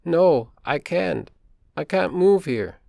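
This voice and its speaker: A man putting on a frightened teenage girl stuck in a dark shaft, her voice pushed high, tight and pleading. The recording is close and dry, with a gravelly edge under the raised pitch. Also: lively intonation, slow, no room sound, exaggerated voice. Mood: panicked. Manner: high, strained, fearful pleading.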